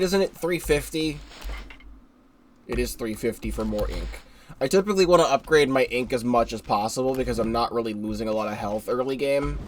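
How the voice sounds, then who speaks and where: A man's voice: mid-range voice, a little hiss or hum. A congested young man giving rambling, casual commentary while streaming a game live, often correcting himself and swearing offhand.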